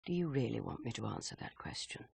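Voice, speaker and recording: An actress playing a stern, brisk intelligence chief briefing an agent in a video game, dry and authoritative. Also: female voice, a bass voice, big pitch swings, gravelly, a little mellow, husky, no echo, heavily acted. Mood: stern, wry. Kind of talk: dramatic video game cutscene acting.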